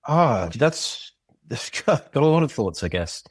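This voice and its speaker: A man's voice: medium pitch, big pitch swings, unhurried, breathy edge, no echo, studio quality. A man speaking as a guest in a podcast interview, talking things through reflectively and thinking aloud as he goes. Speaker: podcast guest, audio drama creator. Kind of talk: casual conversational interview.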